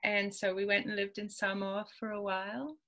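Bright-voiced woman chatting on a video call. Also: medium pitch, little pitch movement, moderate pace. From Peru. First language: Spanish. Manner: chatty, many ums, laughs easily. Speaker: author guest. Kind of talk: casual conversational interview. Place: home room with bookshelves. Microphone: video call webcam.

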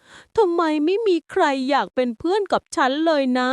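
A woman voicing a big, gentle, lonely fluffy monster in a bedtime storybook, speaking softly. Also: very high voice, animated, moderate pace, dry recording, voice pushed up. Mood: sad. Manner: soft and gentle.

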